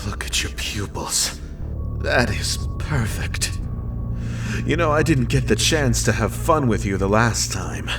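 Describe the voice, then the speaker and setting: A man playing a gloating, predatory kidnapper in a dramatic animated story, taunting with mock politeness. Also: mid-range voice, slow, far from the mic, music in the background. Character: menacing, gloating male kidnapper and captor, predatory. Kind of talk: dramatic animated fan-fiction acting.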